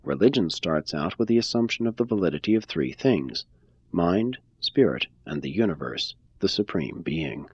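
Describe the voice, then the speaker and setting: Husky low-voiced man reading aloud. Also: lively intonation, moderate pace, no echo, highs cut off. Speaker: reader. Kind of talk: scripted reading of a religious text.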